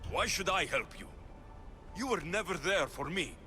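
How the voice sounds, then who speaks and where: A man's voice: sits high, lively intonation, moderate pace, bright, forceful tone, full character voice. An actor playing a proud, wary young male warrior in a video game, blunt, intense and questioning. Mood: resentful, demanding. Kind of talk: video game cutscene acting. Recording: music behind the voice.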